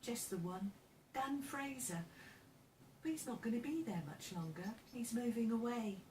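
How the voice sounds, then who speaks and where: A woman's voice: on the high side, expressive, unhurried, a little mellow, voice pushed up. Woman voicing a plucky farm boy, echoey room. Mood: reassuring. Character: thirteen-year-old farm boy, plucky, downtrodden, kind. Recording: roomy, distant mic, faint background noise.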